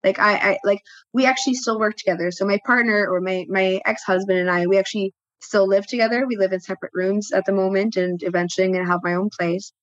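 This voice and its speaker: A woman whose first language is French, a guest speaking reflectively with frequent pauses and restarts. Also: medium pitch, fairly even intonation, dry recording, highs cut off. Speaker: podcast guest, tattoo studio co-owner. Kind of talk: personal interview talk.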